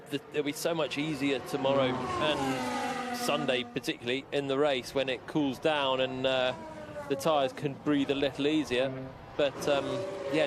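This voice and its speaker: A man giving conversational live analysis as a co-commentator on a motor racing broadcast. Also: fairly high pitch.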